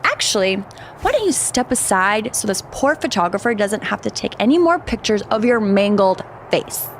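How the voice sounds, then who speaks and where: A woman's voice: medium pitch, sing-song, normal speed, noisy background. A woman voicing a cocky, mocking female wrestler villain in a video game, taunting and boastful. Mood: sneering, taunting. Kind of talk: video game cutscene acting, trash talk.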